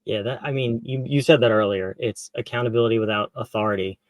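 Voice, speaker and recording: A man's voice: mid-range voice, fast talker, no room sound. Man hosting in a dry, close sound. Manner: relaxed, curious questioning with frequent restarts. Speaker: podcast host. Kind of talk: conversational interview.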